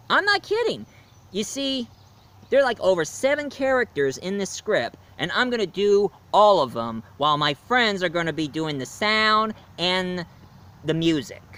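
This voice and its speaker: Guy rambling excitedly to camera about his own audio drama, voice sits a bit high, lots of ums and restarts. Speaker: YouTube reviewer. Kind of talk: casual vlog announcement.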